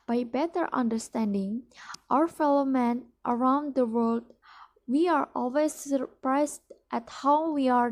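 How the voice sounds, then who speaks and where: Slow, high-voiced young Indonesian woman. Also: darkish tone. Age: young adult. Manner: careful, with frequent restarts and repeated words. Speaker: student presenting a mock business ad. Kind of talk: read-aloud promotional speech.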